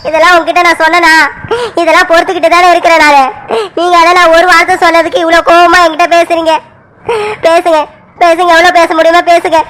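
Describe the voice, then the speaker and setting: A woman's voice: very high voice, a bit bright, slight background noise. Woman playing an aggrieved young wife. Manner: pleading, reproachful. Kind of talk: family skit acting.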